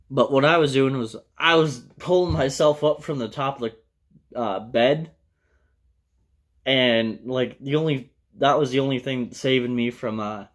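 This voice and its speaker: A young man with an American accent and a medium-pitched voice talks off the cuff as a vlogger. His storytelling is casual and halting, wandering as he goes and peppered with profanity. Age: young adult. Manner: loose, profane, halting delivery. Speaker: vlogger telling a personal story. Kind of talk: casual rambling storytelling to camera. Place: small indoor room with bare wall.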